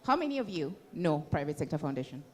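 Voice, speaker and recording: Woman, slightly low voice, panel moderator, informal public address. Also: big pitch swings, fast talker, darkish tone, a little hiss or hum.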